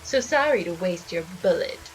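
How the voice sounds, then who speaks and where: A woman's voice: fairly low pitch, expressive, normal speed, slight background noise, natural voice, poor audio. A woman voicing a scheming flapper cult priestess who gloats in theatrical 1920s slang, in an audio drama. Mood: mocking.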